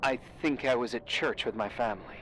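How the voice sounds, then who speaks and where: A man's voice: medium pitch, brisk, fairly bright tone, slight background noise, natural voice, low-quality recording. An actor playing a guarded, cryptic man on a call in a naturalistic video game drama, restrained and questioning. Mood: calm, curious. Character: guarded, cryptic man calling over a radio phone.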